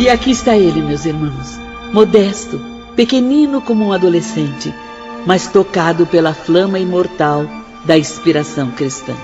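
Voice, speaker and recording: An actor playing a reverent spiritual instructor in a radio drama, solemn and declamatory, with a Brazilian accent. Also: male voice, sits high, moderate pace, lightly acted. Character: reverent spiritual instructor presenting a humble master. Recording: dull recording under loud music.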